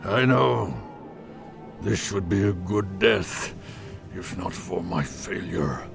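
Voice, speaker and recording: A man voicing a dying old orc warrior, proud and shamed, speaking weak, halting and heavy lines. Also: deep voice, creaky, music in the background, heavily acted. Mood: dying regret. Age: older.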